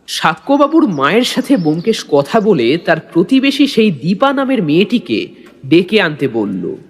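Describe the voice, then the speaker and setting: A man's voice: high-pitched, husky, heavily acted. A man playing a detective's loyal companion, narrating the mystery as a suspenseful, dramatic audio story. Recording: long reverb, music bed.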